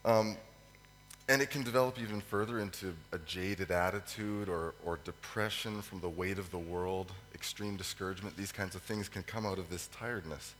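A man with a cold, his voice hoarse and fading, preaches a sermon with many ums and pauses to clear his throat. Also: low voice, a bit bright. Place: church hall. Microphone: microphone. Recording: echoey hall with faint hum.

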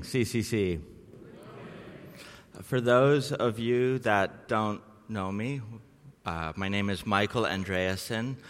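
Man, middle-aged, low-pitched, conversational sermon, informal storytelling, long reverb. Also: fairly bright tone, smooth. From Norway and Southeast Asia. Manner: informal, chatty. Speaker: missionary preaching a sermon.

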